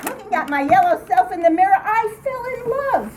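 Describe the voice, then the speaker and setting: A woman's voice: very high-pitched, expressive, fairly quick. An older woman reading a humorous personal essay aloud in a theatrical, comic storytelling manner. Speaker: writer reading her own account to a writing group. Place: indoor group meeting room. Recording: noisy room recording, background ambience.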